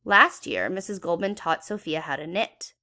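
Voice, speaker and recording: A woman's voice: lowish voice, moderate pace, bright, forceful tone. A woman reading a children's story aloud expressively, with dramatic emphasis for young listeners. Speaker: children's book read-aloud educator.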